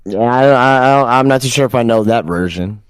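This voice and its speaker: A man's voice: medium pitch, normal speed, clear, resonant tone, dry recording. A man co-hosting a podcast, talking casually with lots of stammered restarts and fillers. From Texas. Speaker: podcast co-host.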